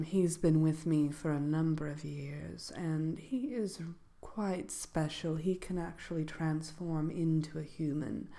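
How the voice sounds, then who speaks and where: A woman playing a genteel sorceress presenting a seminar in a soft-spoken ASMR roleplay, speaking in a hesitant, gently formal, measured way. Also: deep voice, creaky, heavily acted. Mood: gentle, musing. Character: genteel female fairytale sorceress lecturing on magical companion animals.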